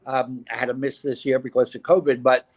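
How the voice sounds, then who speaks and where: A man's voice: mid-range voice, level tone, a little fast. A man answering as a film industry panelist, confident and advisory with a few fillers.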